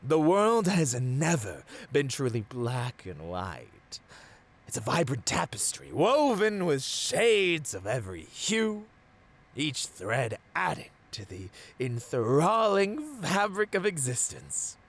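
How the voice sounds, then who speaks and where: A man playing a gloating, seductive supervillain in an ASMR roleplay, theatrical and grandiose, savoring words and often dropping to a breathy near-whisper. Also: medium pitch, wildly swooping pitch, slow pace, exaggerated voice. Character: gloating seductive male supervillain taunting a caged hero. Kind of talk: ASMR villain roleplay monologue.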